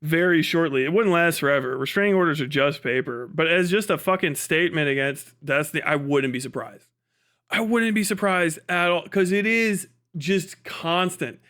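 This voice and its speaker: Male streamer, high voice, profane and opinionated, casual emphatic commentary. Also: moderate pace, a bit bright. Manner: profane, emphatic, opinionated, repeats words for stress. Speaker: livestream host.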